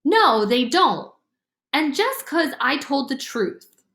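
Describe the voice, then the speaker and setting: An earnest little country girl from a storybook, voiced by a woman. She is blunt and troubled, speaking in a folksy, plaintive way with lively rises and falls. The sound is echoey. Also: sits high, takes it slowly, vocal fry, voice pushed up, exaggerated voice. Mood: downcast. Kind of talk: storybook character voice. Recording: roomy, echoing room sound.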